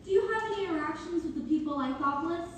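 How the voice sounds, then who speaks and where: A woman's voice: very high-pitched, expressive, rapid-fire. An actress playing a polite, curious woman graduate student conducting an interview in a staged read-through. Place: echoey hall, community theater performance. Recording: echoey, distant microphone, faint noise.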